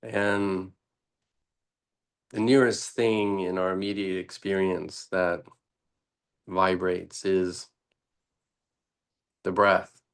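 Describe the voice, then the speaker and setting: A man teaching meditation in an unscripted, deliberate way, pausing often between short phrases. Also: low-pitched, flat delivery, clear, resonant tone.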